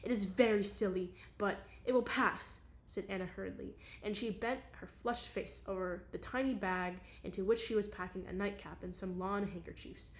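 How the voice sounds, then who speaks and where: Woman reading a classic novel aloud. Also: medium pitch. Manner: casual, chatty asides between passages. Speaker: audiobook reader.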